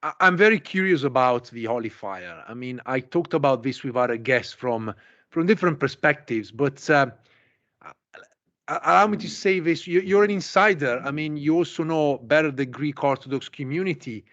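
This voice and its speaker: A man's voice: on the high side, moderate pace, a bit bright, dull audio. A man hosting a conversational interview, easing into long, tentative questions with repeated starts. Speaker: podcast host, interviewer.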